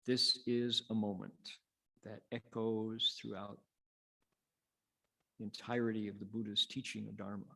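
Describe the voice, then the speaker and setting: A man reciting and teaching Buddhist scripture as a monk, in a measured, dramatic storytelling manner with pauses. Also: fairly low pitch, flat delivery, gravelly, bright, forceful tone, low-quality recording. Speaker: Buddhist monk, sutra lecturer. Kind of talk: sutra recitation and dharma lecture.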